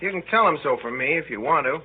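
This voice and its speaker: A touchy small-town teenage boy, played by a man in an old radio play. The voice is high and swings widely, aloof on the surface and romantic underneath, through a narrow vintage radio sound. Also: animated, fast, lightly acted. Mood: defiant, touchy. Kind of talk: 1930s radio drama acting. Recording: scratchy band-limited 1930s radio, music behind.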